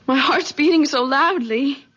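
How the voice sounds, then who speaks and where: An actress playing a sensitive, breathless young bride-to-be in a vintage radio mystery drama. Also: female voice, sits high, slow, a bit bright. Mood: nervous, touched. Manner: earnest, breathless, wide-eyed. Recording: dull, lo-fi old radio recording.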